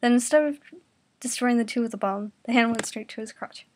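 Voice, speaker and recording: A woman's voice: on the high side, fairly quick, slight background noise. A woman narrating a comedic story in an informal amateur style, now and then stumbling over words. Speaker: reader narrating fan fiction.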